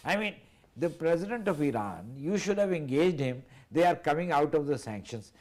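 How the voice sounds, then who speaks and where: Hesitant man, measured. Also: fairly high pitch, lively intonation, darkish tone. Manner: measured, hesitant with many fillers. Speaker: studio guest analyst. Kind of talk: TV panel discussion.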